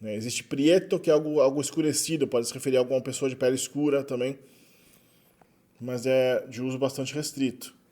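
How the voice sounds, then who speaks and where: Brazilian man giving a relaxed lecture. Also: medium pitch, a little fast. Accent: Brazilian Portuguese. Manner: relaxed, frequent tag questions, self-correcting. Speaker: linguistics lecturer. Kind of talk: explanatory lecture.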